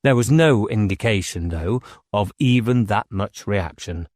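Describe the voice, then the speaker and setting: A man narrates a novel as an audiobook reader. His voice sits somewhat low. The sound is very dry, with no room around it, and the delivery is scripted storytelling. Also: expressive, unhurried, darkish tone, no echo.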